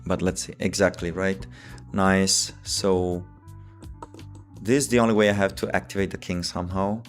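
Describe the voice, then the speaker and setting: Low-voiced Italian man chatting on a stream. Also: flat delivery, moderate pace, faint music behind. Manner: thinking aloud, chatty, many fillers like "you know" and "like". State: tired. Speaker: chess streamer. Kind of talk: casual live-stream chat while playing.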